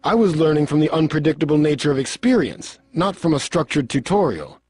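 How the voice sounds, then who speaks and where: An actor playing an earnest, honorable martial arts hero in a video game, with formal, stilted phrasing. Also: male voice, fairly high pitch, sing-song, brisk, darkish tone, breathy edge, no echo. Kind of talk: video game cutscene acting.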